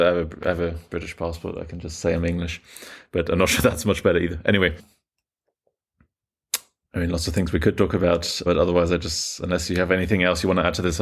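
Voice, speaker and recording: A man's voice: low-pitched, level tone. A man from Germany hosting a podcast interview, speaking casually and correcting himself as he goes. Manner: rapid, hesitant, self-correcting. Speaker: podcast host.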